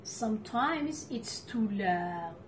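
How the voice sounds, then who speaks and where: A middle-aged woman reading a picture book aloud to children, with deliberate, expressive phrasing and pauses. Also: medium pitch, slight background noise. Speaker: storytime reader for children. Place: living room at home, indoors.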